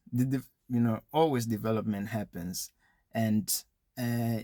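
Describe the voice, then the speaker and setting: A man speaks in a conversational, explanatory way, as if advising young viewers directly. His mid-pitched voice has a noticeable creak to it. The sound is dry and close, with very little room in it, and he drops in small asides as he goes. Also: darkish tone, no echo. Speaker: speaker giving educational advice to young people. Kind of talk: informal explanatory talk addressed to viewers.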